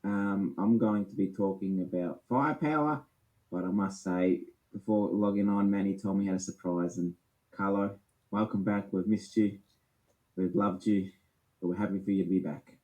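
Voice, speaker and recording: A man joining a casual comics chat, calm and welcoming, heard a little distant over a call. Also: sits low, normal speed. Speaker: podcast guest.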